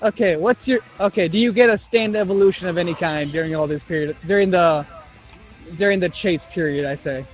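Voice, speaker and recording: Young guy w a high voice, goofy sweary podcast banter, riffing stuff on the fly, music in the back. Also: fairly quick. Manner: jokey, profane, acts out bits of dialogue. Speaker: podcast co-host. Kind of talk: casual improvised fan banter.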